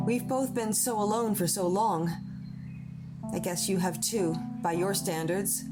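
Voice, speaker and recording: A woman's voice: mid-range voice, lightly acted. A woman playing a lonely, wistful moon figure in an audio drama, conversational and plaintive. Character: lonely, wistful personified Moon, gently sisterly. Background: music with plucked guitar.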